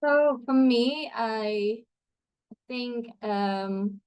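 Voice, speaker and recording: A woman's voice: on the high side, extremely slow, darkish tone, smooth, poor audio. A young woman from Thailand, a student guest answering interview questions in a chatty way, with many fillers and restarts. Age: young adult.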